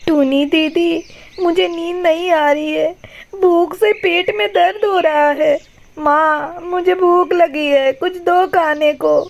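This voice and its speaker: A woman's voice: extremely high pitch, steady tone, slow, vocal fry, exaggerated voice. A woman voicing a plaintive, begging little baby bird girl in a children's cartoon. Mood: whining, hungry. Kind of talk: Hindi children's moral-story cartoon dub.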